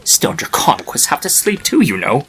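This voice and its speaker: A man's voice: fairly high pitch, big pitch swings, normal speed, a bit bright, a little hiss or hum, pitched above the performer's own voice. A man voicing a grumpy, reluctant male chaos spirit trapped in stone, exasperated and grudging, in a fan story reading.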